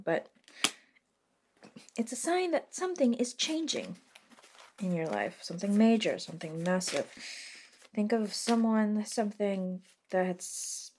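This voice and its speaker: Slow, soft-spoken reluctant witch played by a woman. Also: medium pitch, slight background noise. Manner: casual, hesitant, wry, swears mildly. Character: reluctant modern witch, dry, sardonic, helping a friend. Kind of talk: soft-spoken ASMR roleplay.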